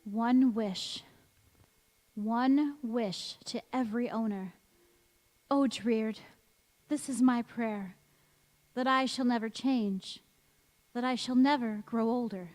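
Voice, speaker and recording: A woman's voice: a little high, takes it slowly, pauses often, darkish tone. A woman acting a sweet, sentimental young bride-to-be with earnest, theatrical delivery in an old-time radio drama. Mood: fervent pleading. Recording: echoey church hall stage recording.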